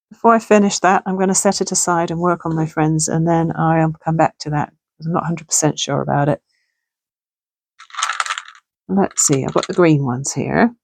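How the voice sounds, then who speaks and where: A middle-aged woman with a gravelly, hoarse voice, chatting casually and thinking aloud as she makes something at home. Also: sits low, darkish tone, dry recording. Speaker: crafting channel host. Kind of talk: casual crafting tutorial chat. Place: home sewing room.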